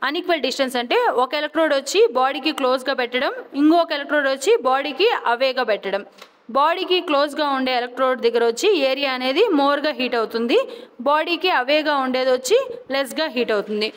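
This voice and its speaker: A woman with a Telugu accent giving a scripted educational narration as a lecturer, deliberately repeating key terms and carefully enunciating English phrases. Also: sits high. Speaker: physiotherapy lecturer. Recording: long reverberant echo.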